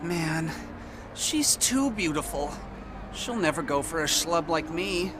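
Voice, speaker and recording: A man's voice: a little high, lively intonation, takes it slowly, background noise. A man playing a timid, self-deprecating, lovesick young soldier in a video game scene. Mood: lovesick, glum. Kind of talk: video game cutscene acting. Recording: music behind the voice.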